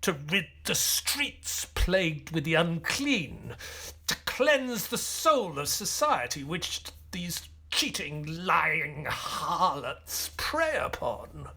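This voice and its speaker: A man acting an earnest doctor and loyal companion in an audio play, speaking in a measured, sometimes hesitant way. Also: a little high, sing-song, bright, forceful tone, breathy edge, full character voice. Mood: menacing. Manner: measured, hesitant, stammering in dialogue. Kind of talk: audio play acting and first-person narration.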